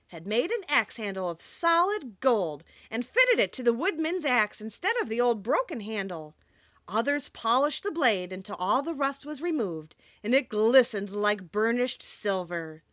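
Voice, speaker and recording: Bright, animated woman reading a storybook. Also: a little high, dry recording. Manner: animated, theatrical read-aloud delivery. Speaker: audiobook narrator. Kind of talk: expressive scripted storybook narration.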